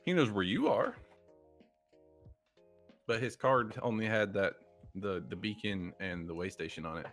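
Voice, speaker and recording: A man with a low voice narrates a tabletop roleplaying session as game master. He sets out scenes in a measured, descriptive way, with music playing faintly behind him. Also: fairly bright tone.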